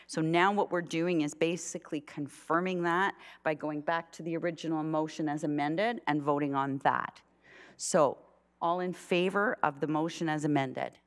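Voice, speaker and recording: A low-pitched woman chairs a town council meeting as mayor. She speaks in halting stretches, restarting phrases and filling pauses as she steers the votes. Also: fairly even intonation. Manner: halting, many restarts and fillers.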